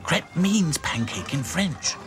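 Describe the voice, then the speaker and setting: A man voicing a bossy, cheerful girl doll in a storybook, explaining things briskly. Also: on the high side, big pitch swings, music in the background, pitched above the performer's own voice. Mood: eager.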